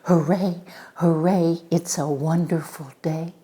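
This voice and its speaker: A woman's voice: low voice, fairly even intonation, slow pace, mellow. A woman voicing an eager, earnest little boy lightning bug in a storybook, exclaiming in a singsong way. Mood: singing, joyful.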